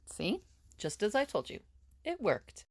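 A woman's voice: medium pitch, wildly swooping pitch, a little slow, gravelly, a little mellow, heavily acted. A woman playing a confident, reassuring young prison escapee in an audio drama.

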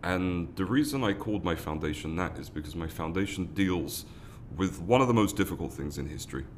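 A man's voice: sits low, expressive, fairly quick, slight background noise. A man, a foundation founder giving earnest interview answers, often restarting and repeating words. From London, England. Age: 30s.

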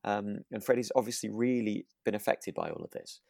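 A man co-hosting a podcast in a relaxed conversational style, hesitant and often rephrasing as he goes. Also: fairly low pitch, brisk, vocal fry, no room sound, clean studio sound. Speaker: podcast co-host. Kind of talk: relaxed conversational discussion of a radio drama.

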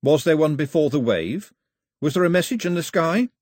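A man reads a young island hero from a storybook, irreverent and stubborn. His voice is husky with lively pitch, and his dry retorts come out firm and defiant. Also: moderate pace, dry recording. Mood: challenging.

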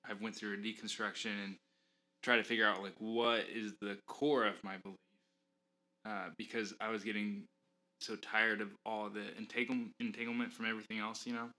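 A man's voice: lowish voice, flat delivery, brisk, bright, forceful tone. A man appearing as a podcast guest, answering thoughtfully with hesitant restarts. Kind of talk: casual conversation.

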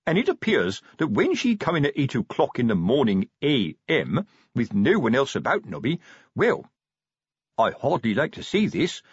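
A pompous older police sergeant, voiced by a male narrator, fatherly and prudish, with big swoops of pitch. He talks in hesitant, colloquial, self-important phrases, as in a comic storybook. The sound is slightly muffled and husky-free, with very little room. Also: no echo, exaggerated voice. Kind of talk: audiobook character dialogue.

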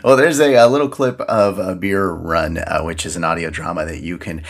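A man's voice: sits low, fairly even intonation, normal speed. An American man hosting a podcast casually, with jokey asides in lightly scripted segments. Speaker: podcast host.

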